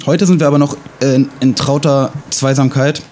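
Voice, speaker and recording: A man with a light Russian accent, a native Russian speaker, co-hosting a casual podcast chat in a halting, self-ironic way with many fillers. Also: a little high, big pitch swings, a little mellow, slight background noise. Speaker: podcast co-host.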